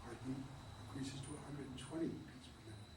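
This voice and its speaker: A man reading stage directions aloud in a theatre room, in an even, measured way. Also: mid-range voice. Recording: roomy, distant, noisy room recording.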